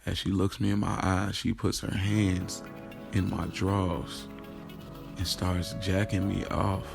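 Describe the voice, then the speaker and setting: A man's voice: low-pitched, level tone, slow pace, vocal fry, heavily acted. A man playing a streetwise young man who tells his love affair in candid, slangy first-person drama narration, with music behind him. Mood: candid, animated. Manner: colloquial, slangy storytelling.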